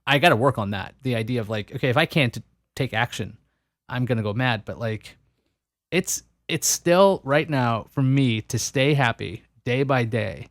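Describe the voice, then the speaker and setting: A male podcast host talks through his thoughts, often restarting his sentences. His voice sits at a middle pitch and sounds dry and close, with no room echo. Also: normal speed, a bit bright. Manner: musing, thinks aloud with frequent restarts. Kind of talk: casual interview conversation.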